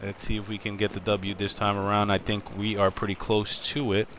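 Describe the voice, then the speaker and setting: Guy streaming a shooter, lowish voice, chatty wiseguy banter to his squad. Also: monotone, fairly quick, a crowd in the background. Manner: wiseguy catchphrases, chatty, greets viewers by name. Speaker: game streamer. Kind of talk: live gameplay commentary.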